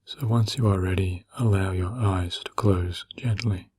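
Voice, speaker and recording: A man narrating a guided meditation in a gentle, soothing, slow manner with long pauses and a breathy, husky voice. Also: low-pitched, steady tone.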